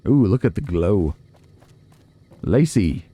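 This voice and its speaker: A man's voice: deep voice, sing-song, slow pace, dark tone, no room sound. A man giving casual gameplay commentary, chatty and folksy, musing aloud to himself. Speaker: let's play host.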